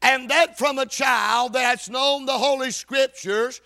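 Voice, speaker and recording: A man's voice: very high-pitched, fairly even intonation, unhurried, vocal fry, bright, forceful tone, faint music behind. A man preaching a fervent evangelical sermon in a church, with an emphatic, declamatory delivery in short bursts. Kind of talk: fervent evangelical sermon with scripture reading and prayer. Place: church service.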